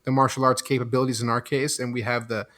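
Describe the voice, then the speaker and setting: A young man from Belgium whose first language is French talks as a podcast guest. He thinks out loud and circles back on his points in an easy, casual way. He has an even, middle-pitched voice. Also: fast talker.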